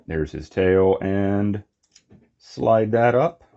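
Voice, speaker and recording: A man with a very low, crackly voice talks casually through a hands-on review. His delivery is unscripted and informal, with small muttered asides as he works. The sound is somewhat muffled and lo-fi. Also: very slow, gravelly, mellow. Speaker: toy collector and reviewer.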